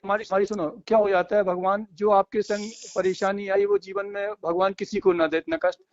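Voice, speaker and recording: A man's voice: sits high, flat delivery, a little fast. A middle-aged or older North Indian man speaking apologetically and hesitantly on the phone, often repeating his phrases. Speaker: father. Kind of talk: emotional phone conversation. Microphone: phone call. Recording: dull lo-fi phone call recording.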